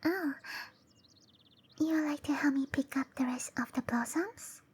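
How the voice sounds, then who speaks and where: A woman voicing a shy, gentle young cherry blossom dryad in a breathy, hesitant whisper for roleplay ASMR. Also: high voice, a little slow. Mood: shy, caring. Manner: hesitant, bashful, softly spoken. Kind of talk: roleplay ASMR, intimate and slow.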